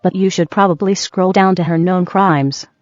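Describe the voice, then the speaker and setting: A woman with a fairly low voice delivers scripted co-host remarks, sarcastic and needling. The recording is close and slightly muffled, with little room sound. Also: fairly even intonation, moderate pace, darkish tone, dry recording. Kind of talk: scripted banter commentary. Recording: dull dry audio, music behind. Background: background music.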